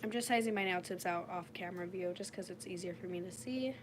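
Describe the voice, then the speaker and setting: A woman with a raspy, slightly under-the-weather voice, chatting casually and informally as she demonstrates nail work on a livestream. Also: on the low side, quick pace. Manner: informal, frequent "like". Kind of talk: casual live chat while demonstrating. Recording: clearly noisy home livestream recording. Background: washing machine noise.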